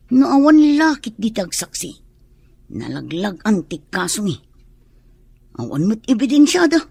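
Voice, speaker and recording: An actress with a Filipino accent playing a scheming, vengeful gang criminal in a radio drama, brooding over every word. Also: female voice, on the high side, mellow, breathy edge, no echo, heavily acted. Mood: worried, calculating.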